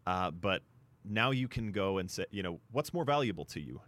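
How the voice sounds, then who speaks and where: A man's voice: sits low, big pitch swings, moderate pace, a bit bright. A man co-hosting a sports talk show, explaining things point by point in a discussion. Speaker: sports radio show co-host.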